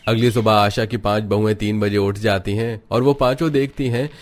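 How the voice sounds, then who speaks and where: Guy narrating a cartoon story from a script, lowish voice. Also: fairly even intonation, moderate pace.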